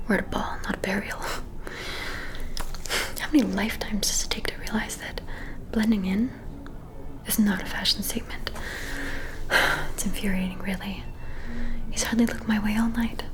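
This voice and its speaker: A woman playing a vain, gossiping vampire socialite in a whispered ASMR roleplay, breathy, theatrical and disdainful. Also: mid-range voice, close to an everyday voice, rough recording. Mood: exasperated, scornful. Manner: conspiratorial, theatrical, disdainful, lingering on words.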